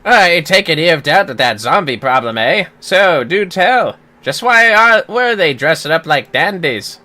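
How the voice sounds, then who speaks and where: A streamer voicing a gruff, wry male informant from a video game, with rough colloquial talk. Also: mid-range voice, lively intonation, unhurried, bright, forceful tone. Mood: curious.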